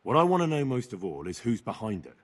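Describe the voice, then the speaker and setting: An actor playing a dutiful young squire who speaks earnestly in a video game cutscene. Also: male voice, medium pitch, very animated, fast talker.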